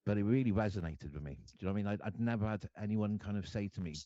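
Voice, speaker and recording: A man from Cardiff with a Welsh accent, telling his life story to an interviewer in an earnest, halting way, often restarting his sentences. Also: lowish voice, dark tone, dry recording. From Wales. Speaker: guest artist. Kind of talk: candid personal storytelling. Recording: dull lo-fi dry sound.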